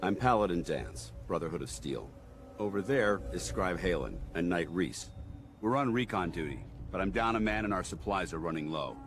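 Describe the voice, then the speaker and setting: An actor playing a stern, disciplined military paladin in a video game, speaking formally and commandingly, in clipped phrases. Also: male voice, sits low, lively intonation, normal speed, background noise. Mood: brisk, commanding. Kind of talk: scripted video game dialogue. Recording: loud music behind the voice.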